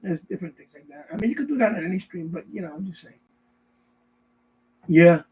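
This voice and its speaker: A middle-aged man with a husky voice chats casually on a live stream, rambling and repeating himself as he answers viewers. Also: sits high, expressive, fairly quick, darkish tone, slight background noise, low-quality recording. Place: basement at home.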